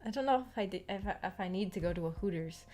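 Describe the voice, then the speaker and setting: An American woman streamer chatting casually in a rambling, halting, giggly way. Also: medium pitch, darkish tone. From United States. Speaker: streamer, visual novel book club host. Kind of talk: casual livestream chat. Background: background music.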